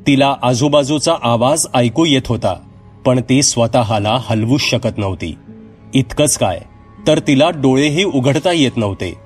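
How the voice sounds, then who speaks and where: A man's voice: medium pitch, lively intonation, measured pace. A man narrating a scripted horror story as a storyteller, with music behind him. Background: background music.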